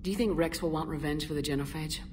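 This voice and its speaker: An actress playing a steady, direct and compassionate military commander in a video game, composed and straightforward. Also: female voice, deep voice, fast talker, slight background noise, close to an everyday voice. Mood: composed, curious.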